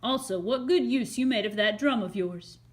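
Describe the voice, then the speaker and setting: A woman's voice: on the high side, natural voice. Woman reading as a formal, gracious army general. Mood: gracious, approving. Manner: formal, measured. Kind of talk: storybook character reading.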